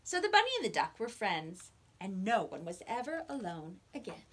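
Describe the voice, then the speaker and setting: A woman reading picture books aloud to young children like a teacher, with expressive emphasis on the words. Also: mid-range voice, moderate pace, breathy edge.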